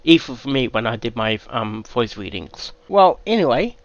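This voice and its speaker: A man hosting a casual reaction video, halting and often repeating phrases. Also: mid-range voice, very animated, no echo, muffled recording.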